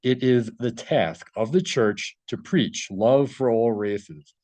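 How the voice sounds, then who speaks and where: A man's voice: medium pitch, takes it slowly, darkish tone, no echo, rough recording. A man reading a translated article aloud and adding his own informal commentary.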